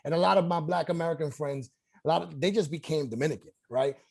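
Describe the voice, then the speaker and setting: A man from Washington Heights with a gravelly voice. His casual, filler-heavy speech stays a little high, with plenty of "you know"s. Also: fast talker, darkish tone. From Washington Heights, New York. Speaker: moderator. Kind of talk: casual interview chat.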